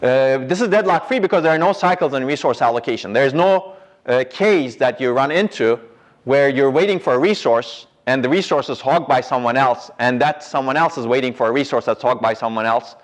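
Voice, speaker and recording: A man's voice: medium pitch, brisk. A middle-aged man giving a university lecture in a large hall, explaining step by step and often checking in with "right?". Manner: explanatory, pointing at a slide, frequent "right?" and restarts.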